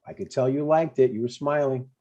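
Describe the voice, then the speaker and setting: A man's voice: mid-range voice, fairly quick, dark tone, natural voice. A man playing a chatty, stubborn older father in a comedy table read, in a conversational, mildly needling way. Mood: breezy, coaxing.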